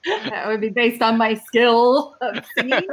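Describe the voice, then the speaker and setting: High voiced lady gamer, playful casual chat, unhurried. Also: a crowd in the background. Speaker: player in a tabletop game. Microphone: computer microphone on a video call.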